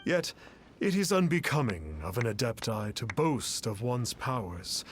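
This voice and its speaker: A man's voice: medium pitch, big pitch swings, measured pace, a little hiss or hum. A man playing a pompous self-proclaimed immortal adept in a video game, speaking in grandiose archaic phrases. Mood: lofty, smug. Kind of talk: theatrical video game voice acting.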